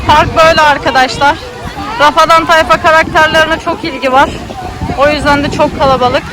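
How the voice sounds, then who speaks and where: A high-voiced woman with an Istanbul Turkish accent is vlogging outdoors. She chats casually, switching between addressing a child and her viewers, with a busy crowd audible around her. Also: fairly even intonation, brisk, background noise. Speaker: vlogger parent filming a child. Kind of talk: casual vlog commentary. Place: outdoor children's theme park. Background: crowd chatter.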